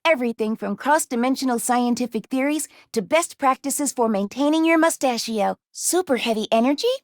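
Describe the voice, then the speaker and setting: A woman's voice: on the high side, moderate pace, no echo, clean studio sound, natural voice. An actress voicing a timid, earnest, bookish young female robot in a video game, with polite, formal and precise diction. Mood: curious, matter-of-fact. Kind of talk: video game character voice lines.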